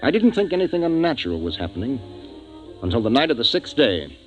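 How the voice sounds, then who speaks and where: A man's voice: medium pitch, big pitch swings, quick pace, close to an everyday voice. An actor playing a grave, decisive male spaceship captain who narrates his tale in a 1950s radio drama. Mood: grave narration. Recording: dull 1950s radio recording, music behind.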